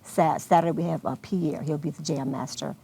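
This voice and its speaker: A woman's voice: low voice, fairly even intonation, fairly quick, gravelly, warm tone, husky. An American woman answering questions as a guest organizer in an unscripted television interview. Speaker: guest, arts festival organizer.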